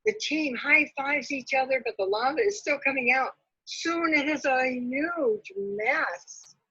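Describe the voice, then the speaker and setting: Husky, animated woman reading a storybook. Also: high voice, unhurried, highs cut off. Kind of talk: expressive children's read-aloud.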